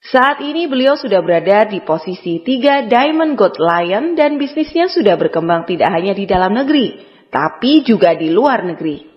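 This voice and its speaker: A woman's voice: medium pitch, big pitch swings, moderate pace. A woman reading a formal scripted introduction of a speaker as an emcee. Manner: formal, announcing tone. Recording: echoey hall, dull lo-fi sound.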